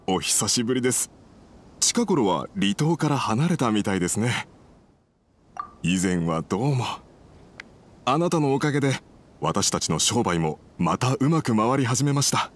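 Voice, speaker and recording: A man's voice: mid-range voice, sing-song, a little slow, pauses often, soft background music. A man playing a courteous, easily flustered merchant chairman in an anime game, effusive in his business politeness. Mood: flustered, grateful. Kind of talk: anime video game dialogue.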